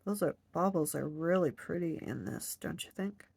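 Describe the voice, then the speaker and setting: Low, gravelly-voiced stuffy woman crafter. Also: normal speed, darkish tone, dry recording. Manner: talks to herself while crocheting, counting stitches under her breath. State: stuffed up nose. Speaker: crochet vlogger. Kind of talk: casual hands-on crafting demo.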